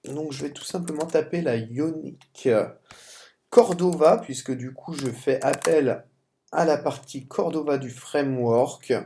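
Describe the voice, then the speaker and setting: A man's voice: on the high side, a little slow, warm tone, a little hiss or hum. A man with a cold and a French accent, talking through a screen-recorded coding tutorial, often stumbling and restarting words. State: has a cold. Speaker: software tutorial presenter.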